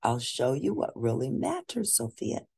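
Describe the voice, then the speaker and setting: Woman as a vain young princess, swooping pitch. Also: huge pitch swings, a little slow, gravelly, darkish tone, slightly rough, full character voice. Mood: smug, breezy. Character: vain, breezy young storybook princess girl.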